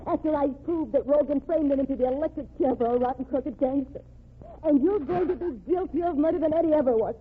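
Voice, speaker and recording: Tinny, high-pitched, desperate young woman played by an actress. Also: fast, lightly acted. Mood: desperate, pleading. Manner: urgent, pleading, melodramatic. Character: desperate, impassioned young woman fighting for her condemned sweetheart. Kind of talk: old-time radio crime drama acting. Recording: tinny band-limited old radio recording.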